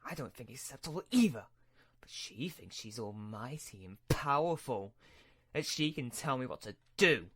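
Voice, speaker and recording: A man's voice: mid-range voice, lively intonation, moderate pace, a bit bright. A man acting as an arrogant, menacing Sith master in a sci-fi roleplay, with a threatening, imperious manner. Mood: indignant, boastful. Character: arrogant, hot-tempered Sith lord master, menacing and domineering.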